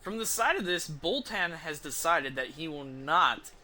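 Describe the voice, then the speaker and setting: A man giving casual, halting video game commentary as he thinks aloud. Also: on the high side, lively intonation, bright, forceful tone, slight background noise.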